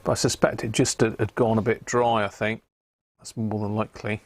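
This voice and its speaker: A British man giving casual, unhurried live commentary as he works at a repair bench, half muttering to himself. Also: lowish voice, level tone, no echo. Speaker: host of a live radio repair stream. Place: indoor repair workbench.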